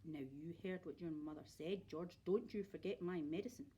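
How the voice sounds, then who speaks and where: A woman's voice: fairly low pitch, normal speed, warm tone, husky, voice pushed down. A woman voicing a selfish, grumpy, tiny old grandmother who snaps and scolds bossily in a storybook reading. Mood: menacing. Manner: snapping, bossy, scolding.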